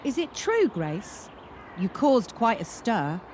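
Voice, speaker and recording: A woman's voice: a little high, big pitch swings, slow pace, darkish tone, a little hiss or hum. A young woman playing a wary, wounded gymnast in a radio play, with a wry, self-mocking and wistful manner. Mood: wry, doubting.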